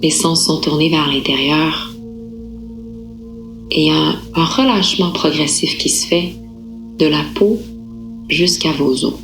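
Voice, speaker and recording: A woman yoga nidra instructor guiding a relaxation meditation in a calm, unhurried, soothing manner with gentle pauses. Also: deep voice, soft background music, rough recording.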